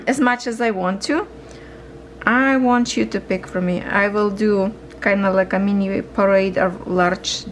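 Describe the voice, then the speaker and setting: Slow gravelly-voiced woman stitching and chatting. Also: medium pitch, slight background noise. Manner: slow, musing, talks to viewers while working. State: losing her voice, coughing. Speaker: cross-stitch vlogger. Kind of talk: casual chat while stitching.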